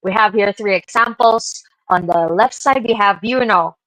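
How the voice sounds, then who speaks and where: A woman's voice: medium pitch, normal speed, a bit bright, no room sound, low-quality recording. A woman presenting informally to co-hosts on an online show, in a hesitant, conversational way. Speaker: podcast presenter. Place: online live stream call.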